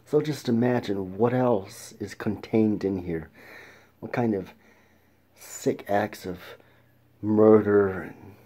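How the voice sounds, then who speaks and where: A man hosting a casual film review show, talking in a halting way with restarts and throat clearing. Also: on the low side, warm tone. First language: English.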